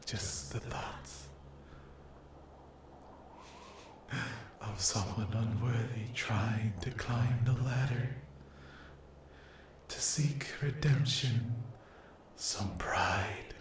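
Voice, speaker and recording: A man plays a sadistic sleep paralysis demon in an ASMR roleplay. He speaks extremely slowly, drifting into breathy whispers and drawn-out, gloating endearments. The voice sits in a roomy echo with clear noise behind it. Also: medium pitch, a little mellow. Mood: sadistic delight. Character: cruel, possessive male sleep paralysis demon, sweetly menacing.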